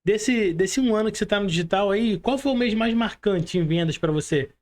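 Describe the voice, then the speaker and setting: A man's voice: high-pitched, brisk. A Brazilian man hosting a casual podcast interview in informal, slangy Portuguese, often checking in with short tag questions. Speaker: podcast host.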